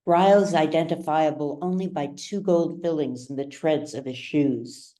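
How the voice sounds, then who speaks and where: Low-voiced woman narrating a story, unhurried. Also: dark tone. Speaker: author reading their own novel. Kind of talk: scripted audiobook narration.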